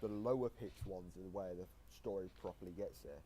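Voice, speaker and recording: A man's voice: deep voice, normal speed, warm tone, slight background noise, rough recording. A man giving casual commentary on game voice lines, explaining his reading of them in a matter-of-fact way.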